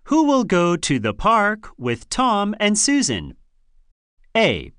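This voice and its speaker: A woman reading scripted lines and answer options for an English listening test, slow and carefully enunciated. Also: mid-range voice, huge pitch swings, fairly bright tone, clean tone, no echo, clean studio sound.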